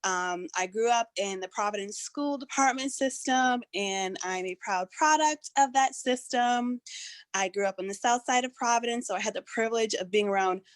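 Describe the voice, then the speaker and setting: A woman's voice: a little high, moderate pace, no room sound. Effusive woman on a dry video call. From Rhode Island. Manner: upbeat, effusive, repeats words for emphasis. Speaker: task force member. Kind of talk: self-introduction at a video meeting.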